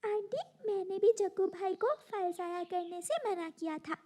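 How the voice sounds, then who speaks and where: A kind little girl bird from a children's cartoon, played by a woman. The voice is extremely high, with a polite, earnest and helpful manner. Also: expressive, unhurried, mellow. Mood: earnest concern. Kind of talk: Hindi animated moral story acting.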